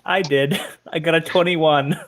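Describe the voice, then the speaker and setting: A man's voice: a little high, steady tone, unhurried, a crowd in the background. A man chatting casually as a player in an online tabletop game.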